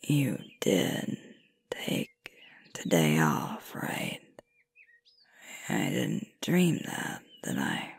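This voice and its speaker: A woman's voice: rumbling low, very animated, no room sound, full character voice. A woman playing a sweet, sleepy, affectionate girlfriend in an intimate ASMR roleplay, murmuring tenderly close to the microphone. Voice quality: breathy, whispery. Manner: slow, drowsy, tender murmuring. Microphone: close microphone, dry.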